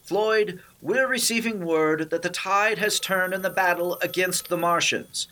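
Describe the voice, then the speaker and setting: A man's voice: sits high, measured pace, bright, forceful tone. A man playing a formal, emphatic news anchor in a 1930s radio drama spoof. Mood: urgent, formal.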